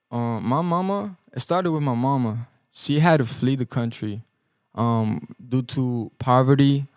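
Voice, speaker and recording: Young man, podcast guest, earnest, halting, smooth voice, dry close sound. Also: medium pitch, darkish tone, no echo. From born in El Salvador, raised in the US Midwest. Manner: earnest, halting, with many ums and restarts. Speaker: guest musician. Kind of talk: reflective podcast interview answers. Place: podcast studio.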